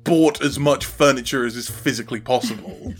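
A man with a medium-pitched voice talks casually as an interview guest about his creative work. He feels his way through sentences in a halting fashion, as if thinking aloud. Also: soft background music.

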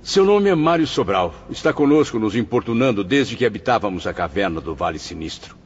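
A sardonic Brazilian soul sneers with mock politeness in a deep, very raspy voice. His pitch swings widely in this radio drama. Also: animated, brisk. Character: sardonic, impatient man among tormented souls. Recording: muffled radio drama recording.

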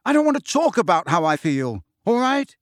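Bloke doing an angry teen wizard boy, high strained voice, anguished, audiobook. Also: very animated, normal speed, slightly rough, dry recording, studio quality. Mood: bitter anger. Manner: loud, strained, shaking with anger. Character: anguished, hot-tempered teenage wizard boy. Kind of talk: audiobook character voice.

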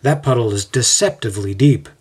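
Older male rabbi, acted by a man, wise, unhurried, gentle, light-hearted, slightly husky, storybook mentor. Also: medium pitch, close to an everyday voice. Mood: serene.

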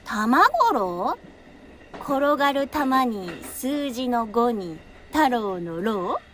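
High-pitched, cheeky teenage schoolgirl. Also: animated, very slow, slight background noise. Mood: bossy, incredulous. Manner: casual, bossy, teasing. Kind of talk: Japanese audio drama acting.

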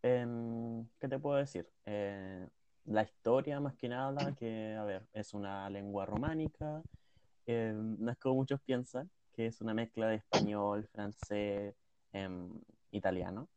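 A man's voice: fairly low pitch, measured pace, dark tone, clear, resonant tone, no echo, dull audio, lightly acted. A man playing a young boastful tour guide. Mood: earnest. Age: 20s. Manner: hesitant, many filler sounds. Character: young male tour guide, proud polyglot. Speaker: mock interviewee. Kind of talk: role-played job interview.

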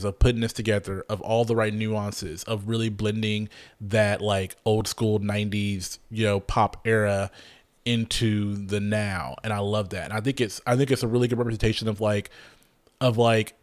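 A man co-hosting a casual podcast, reviewing a TV comedy with animated enthusiasm and lots of restarts. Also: lowish voice. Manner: animated, gushing, frequent restarts and swearing. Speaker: podcast co-host. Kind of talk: casual chat reviewing a TV show.

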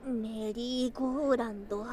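A woman voicing a doting, excitable young lamia bride in an ASMR voice drama, speaking in archaic, old-fashioned phrases with girlish excitement. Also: on the high side, a little slow, lightly acted. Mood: flustered, shy. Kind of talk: ASMR situation voice drama, addressed to the listener.

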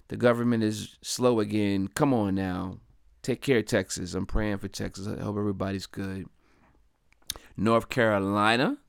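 Gravelly upbeat man hosting a podcast. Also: mid-range voice, no echo, studio quality. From Delaware. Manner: upbeat, chatty, slangy shout-outs. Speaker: podcast host. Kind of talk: casual solo podcast monologue.

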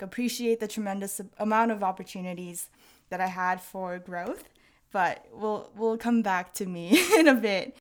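A young woman in her twenties leads a relaxed talk with friends, asking questions and laughing easily. Her voice is smooth and clear at an ordinary pitch. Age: 20s. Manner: chatty, laughs often. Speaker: interviewer. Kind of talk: casual conversation.

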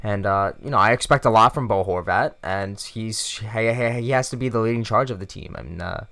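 A man's voice: on the low side, a little fast, gravelly, fairly bright tone. A man co-hosting a casual sports podcast, agreeable, repeating himself and leaning on fillers. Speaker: podcast co-host.

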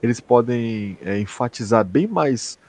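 A Brazilian man speaks in an average-pitched voice as a podcast co-host. His delivery is informal and conversational, the sound of someone theorizing aloud with a friend. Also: lively intonation, a little slow, darkish tone, a little hiss or hum. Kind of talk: casual chat, theorizing about a series.

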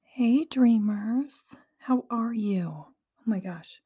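Young adult woman, podcast host, hoarse and recovering, chatty and self-teasing. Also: fairly high pitch, slow, warm tone, soft background music. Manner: chatty and self-teasing, addressing listeners directly. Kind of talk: casual motivational podcast chat.

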